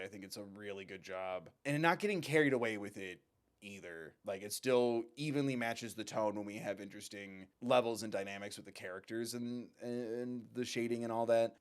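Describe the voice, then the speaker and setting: A man talks as the host of a reaction show, at an ordinary middle pitch. His commentary is casual and excited, full of playful, expressive asides. He often breaks off his own thought to throw in another remark.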